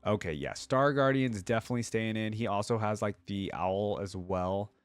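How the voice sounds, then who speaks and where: A man's voice: fairly low pitch, normal speed, smooth, dry recording. A man hosting a reaction video with casual, informal commentary, thinking aloud as he goes.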